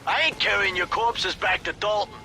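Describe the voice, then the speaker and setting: Gravelly, sharp-voiced abrasive mercenary. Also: male voice, sits high, moderate pace, faint music behind, full character voice. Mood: contemptuous. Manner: taunting, foul-mouthed. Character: abrasive, contemptuous male mercenary rival. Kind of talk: scripted action game dialogue.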